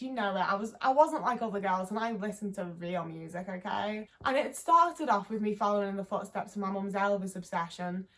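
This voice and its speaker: Scouse girl in her 20s rambling on, lots of likes, swears a bit, just chatting to her cam. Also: mid-range voice. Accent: Liverpool. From England. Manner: rambling, frequent "like" fillers, sweary and candid. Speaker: vlogger. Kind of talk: casual chat to camera.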